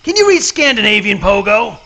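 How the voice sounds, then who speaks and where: A man's voice: sits high, big pitch swings, fairly quick, creaky, sharp tone, heavily acted. A man playing a hot-tempered, blustering alligator sheriff in a comic radio play. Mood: bossy, excited. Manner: blustering, folksy, exclamatory. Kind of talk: comic radio play acting, folksy dialect. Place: live reading at a hotel venue.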